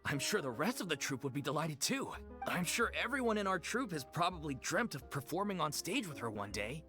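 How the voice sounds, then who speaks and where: A man's voice: fairly high pitch, very animated, a little fast, sharp tone. An actor playing an earnest, apologetic male leader of an amateur theater troupe in a game cutscene. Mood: reverent. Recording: game audio with faint music.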